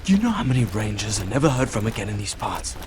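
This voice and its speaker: An actor playing a bitter, abrasive young recruit of a fantasy night watch in a video game, quick to swear and accuse. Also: male voice, on the low side, very animated, background noise. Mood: anxious, bitter.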